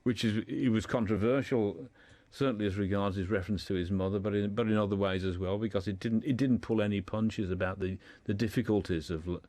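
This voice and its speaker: A man with a low voice talks as a guest in a radio discussion. The sound is dry, with little room in it. He explains haltingly, often doubling back on his words. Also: fairly even intonation, dark tone, no room sound.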